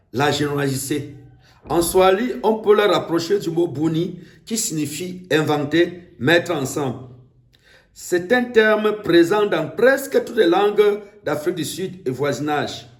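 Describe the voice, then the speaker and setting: Cameroonian guy doing a political speech, really slow and emphatic, echoey room. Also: a little high. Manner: emphatic, declamatory, with deliberate pauses and repetition. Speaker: political speaker.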